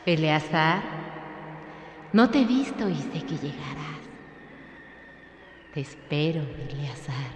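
A woman's voice: deep voice, animated, slow pace, pauses often. An actress in a poetic radio drama playing a sensual woman who beckons to an absent lover with yearning. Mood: seductive longing. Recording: reverberant, noisy radio drama recording.

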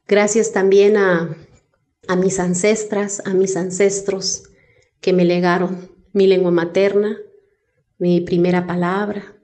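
A woman poet from Chiapas, Mexico, whose first language is Zoque, reciting her poems in a slow, measured way. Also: lowish voice, warm tone. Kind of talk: poetry recitation.

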